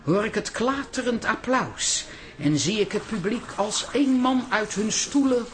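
A woman's voice: fairly high pitch, animated, unhurried, echoey room. A woman acting in a Dutch radio comedy as a vain, gushing aunt who fancies herself a great actress. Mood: grandiose. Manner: dramatic, gushing. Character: theatrical, vain aspiring amateur actress auntie.

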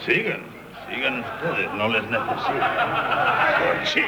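An actor playing an intrusive, easily offended tavern acquaintance in a 1970s radio drama. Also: male voice, medium pitch, sing-song, a little slow, over a noisy crowd. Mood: slighted. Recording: very noisy 1978 radio broadcast.

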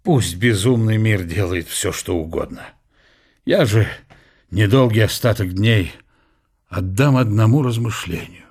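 Husky, brooding old Russian scholar. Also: male voice, fairly low pitch, darkish tone. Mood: weary, resigned. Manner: deliberate, weighty, philosophical musing. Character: Lomonosov, ailing aging scholar, bitterly reflective, proud of Russia. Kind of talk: radio play inner monologue. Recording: music behind the voice.

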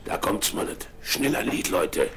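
An actor playing a crafty one-legged pirate cook who plots in a low, sly voice in a children's radio play. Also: male voice, big pitch swings, measured pace, fairly bright tone, full character voice. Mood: conspiratorial. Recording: noisy old vinyl radio play.

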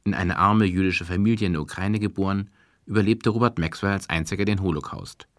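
A man narrating a scripted radio documentary as the reporter, in a sober, measured way. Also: low voice, no echo, studio quality.

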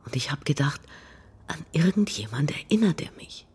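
Lonely-sounding grown son, very husky, hushed, reflective, halting, radio drama monologue. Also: male voice, a little high, sing-song, heavily acted. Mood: wistful, hushed. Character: wistful grown son haunted by a brief meeting.

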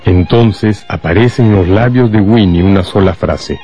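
A man narrating a scripted radio drama in a measured, dramatic storytelling manner. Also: low voice, dark tone, soft background music.

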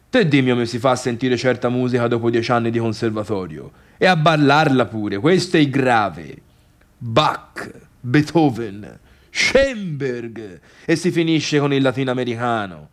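An actor playing a bitter, sarcastic failed pianist turned music critic in a theatrical radio monologue, complaining colloquially in a Tuscan accent. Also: male voice, medium pitch, animated, unhurried, lightly acted. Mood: grumbling, exasperated. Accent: Tuscan Italian.

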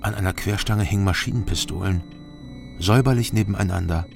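A man's voice: sits low, fairly quick, creaky, over music. An actor playing a gloomy, burnt-out East German man who tells his own story tersely in a radio crime drama. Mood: sombre narration. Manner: terse, subdued delivery. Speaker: lead actor and first-person narrator.

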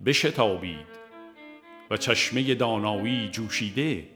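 A man with a fairly low voice narrates a devotional text as an audiobook reader. His delivery is measured, solemn and formal, in a literary register. Soft instrumental music with violin plays faintly behind him. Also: expressive.

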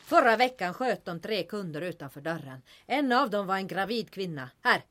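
A woman playing a tough, unflappable bar owner in an audio drama, speaking in a brisk, matter-of-fact way. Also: medium pitch, animated, slight background noise, lightly acted. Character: tough, unflappable female bar owner hiding grim secrets.

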